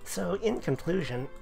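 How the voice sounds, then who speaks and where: Guy with a gravelly worn-out voice rambling thru a game review, faint music behind. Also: high voice, animated, slow, darkish tone. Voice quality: gravelly, voice wearing thin. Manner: rambling, filler-heavy explanations. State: losing his voice. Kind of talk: casual video commentary.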